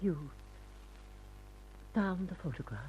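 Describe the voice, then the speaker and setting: Very low, dark-voiced femme fatale. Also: female voice, animated, takes it slowly, slightly rough, full character voice. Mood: hurt, pleading. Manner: dramatic, emotive. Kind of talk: 1950s radio crime drama acting. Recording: muffled, humming old radio recording.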